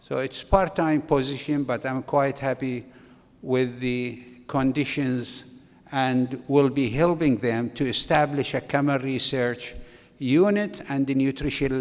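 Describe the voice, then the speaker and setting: A man in his sixties, originally from Iraq, giving a slow, halting academic talk at a conference. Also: mid-range voice. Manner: slow, halting delivery with restarts. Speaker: conference speaker. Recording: long reverb of a conference hall.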